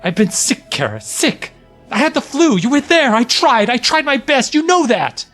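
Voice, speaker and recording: A man's voice: very high voice, very animated, moderate pace, a bit bright, soft background music, heavily acted. An actor playing a weary, frightened husband and father in an audio drama, pleading and defensive. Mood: panicked, pleading.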